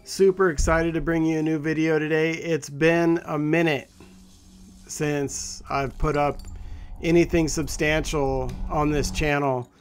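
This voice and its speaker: A man's voice: on the high side, level tone, a little slow, smooth. A man hosting a gaming channel, chatting casually to viewers in an informal, rambling, conversational way.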